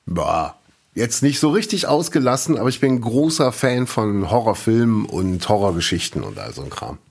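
A man co-hosting a podcast in casual chat, a dry, deadpan storyteller who now and then breaks into shrill mock rants. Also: moderate pace, gravelly, breathy edge. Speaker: podcast co-host.

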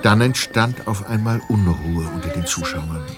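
A man's voice: low-pitched, moderate pace. A man reading scripted storybook narration as the narrator of a tale. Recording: music behind the voice.

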